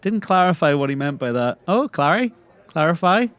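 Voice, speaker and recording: A man's voice: a little high, very animated, normal speed, a bit bright, no room sound. A man playing a cheery, slightly formal adventurer in a comedy audio drama, earnest and playful. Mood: cheery, eager.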